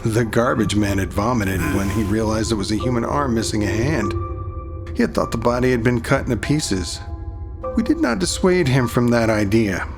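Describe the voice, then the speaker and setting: A man playing a serious, somber police detective, narrating a crime audio drama in the first person with measured delivery. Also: on the low side, animated, vocal fry. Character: somber male police detective recounting a serial killer case. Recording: music playing under the voice. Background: music.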